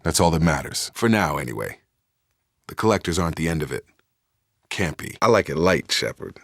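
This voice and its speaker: An actor playing a loyal, guarded soldier in a video game, speaking in frank, clipped, informal military talk. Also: male voice, rumbling low, sing-song, a little fast, creaky, no room sound, clean studio sound, full character voice. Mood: steady, resolved. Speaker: soldier squadmate. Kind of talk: video game character dialogue.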